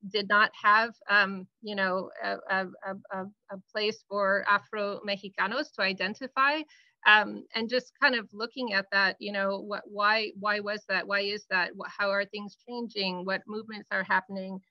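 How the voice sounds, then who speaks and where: A woman's voice: mid-range voice, level tone, normal speed, no room sound. Woman teacher, hesitant, restarts often, dry muffled call audio. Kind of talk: webinar discussion.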